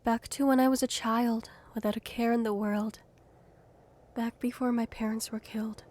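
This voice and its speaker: A woman playing a young gifted fugitive who narrates her own story in a measured, earnest audio drama style. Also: a little high, steady tone, a little mellow, lightly acted. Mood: wistful. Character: young gifted female fugitive, wistful and plain-spoken. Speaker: narrator and lead. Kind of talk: scripted first-person audio drama narration.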